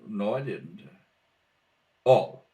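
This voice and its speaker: A man's voice: lowish voice, lively intonation, drawn-out words, mellow. A man playing a sleepy, wry detective husband, mumbling with dry patience in an old-time radio play. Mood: drowsy, grudging. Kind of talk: old-time radio comedy-drama acting. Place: home kitchen table. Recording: distant home rehearsal recording.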